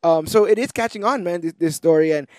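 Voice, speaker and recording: Higher-pitched man, casual dry podcast voice. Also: a little fast, darkish tone, dry recording. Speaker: podcast co-host. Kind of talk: casual chat, comic book recap.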